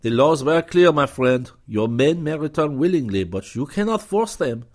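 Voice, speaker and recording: A man's voice: on the high side, lively intonation, normal speed, pitched above the performer's own voice. A man voicing a gracious but sly French starship commander who speaks in flowery, ironic phrases. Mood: courtly, ironic.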